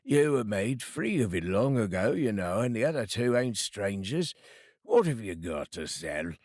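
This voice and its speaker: A man's voice: fairly low pitch, sing-song, unhurried, a little mellow, dry recording, studio quality. A man doing a sly old rag-shop rascal. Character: grey-haired old rascal shopkeeper, very old man.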